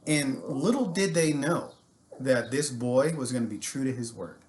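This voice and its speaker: An American man with a medium-pitched voice works as a storytelling teacher. He narrates history in an animated, informal style, slipping in jokey asides as he goes. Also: moderate pace, a little hiss or hum.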